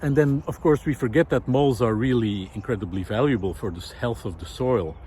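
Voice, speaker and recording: A man with a medium-pitched voice talks to camera outdoors with clear ambient noise behind him. He explains his ideas reflectively, searching for words and often stopping mid-sentence. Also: warm tone. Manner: hesitant, thoughtful, pausing mid-sentence. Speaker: presenter of a nature and philosophy video series. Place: outdoors in a park.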